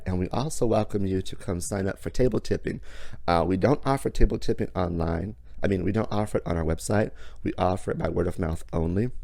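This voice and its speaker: A hoarse, raspy man hosts a motivational talk with sassy, theatrical flair, calling the listener honey and darling. His voice sits low and gravelly. The sound is close and dry, with no room around it. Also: mellow, no room sound. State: hoarse from illness. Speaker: podcast host. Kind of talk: motivational monologue.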